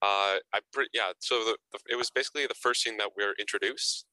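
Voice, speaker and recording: A man's voice: lowish voice, fast, pushed and bright, dry recording, poor audio. A young man being interviewed as an actor guest, answering casually and hesitantly, often repeating words and adding fillers.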